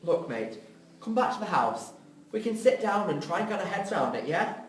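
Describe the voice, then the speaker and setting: A man's voice: high voice, big pitch swings, a little fast, far from the mic. A man playing a caring, bookish older brother, pleading and reassuring, in a script reading. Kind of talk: audio drama script reading. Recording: echoey room, off mic, faint noise.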